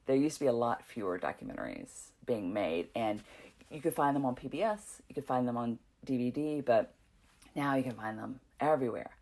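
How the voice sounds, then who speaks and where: A woman's voice: rumbling low, animated, fairly quick, darkish tone. A woman from North Carolina, a documentary filmmaker and professor, speaking in a podcast interview and explaining her ideas with everyday examples.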